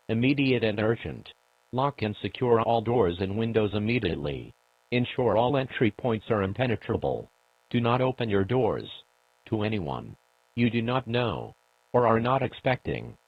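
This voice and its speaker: A man delivering a formal scripted emergency broadcast as an announcer, solemn, measured and authoritative. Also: lowish voice, no echo. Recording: dull lo-fi broadcast audio.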